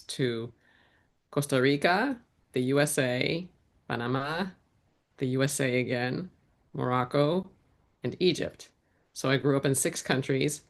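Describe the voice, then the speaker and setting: A woman answering interview questions as a guest, in a reflective way with frequent restarts. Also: extremely low pitch. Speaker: guest, actor and writer.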